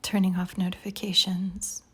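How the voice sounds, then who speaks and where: A woman guiding a meditation in a soft, breathy near-whisper, calm and soothing, with long pauses between phrases. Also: on the low side. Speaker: meditation guide. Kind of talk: guided meditation. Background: soft music.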